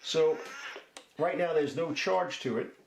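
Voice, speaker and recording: A man with a gravelly, creaky edge hosts a casual product review. He is folksy and chatty, explaining step by step. The room is echoey, with a faint layer of noise. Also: on the high side, takes it slowly. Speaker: host of a DIY product review channel. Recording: roomy echo, faint background noise.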